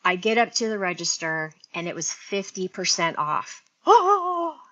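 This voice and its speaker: A woman's voice: lowish voice, very animated, a little slow, fairly bright tone, muffled recording. A sixty-year-old woman hosting a casual beauty live stream, chatting and rambling to her viewers, talking into an earphone mic linked to her phone. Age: 60s. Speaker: beauty livestream host.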